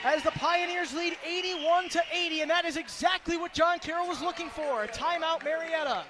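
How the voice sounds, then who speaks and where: A man giving energetic live radio play-by-play of a basketball game in clipped, running descriptions. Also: extremely high pitch, brisk. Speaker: play-by-play announcer. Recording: live gym broadcast, clear background noise. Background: gym ambience with bouncing basketballs.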